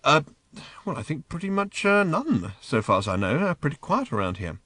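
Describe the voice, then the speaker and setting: A man's voice: moderate pace, dry recording, exaggerated voice. A man doing a frazzled, sarcastic everyman. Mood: flustered. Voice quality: high strained, rising to near-shouts. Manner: sarcastic, hesitant with uhs when calm. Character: exasperated frazzled human man, sarcastic and fretful.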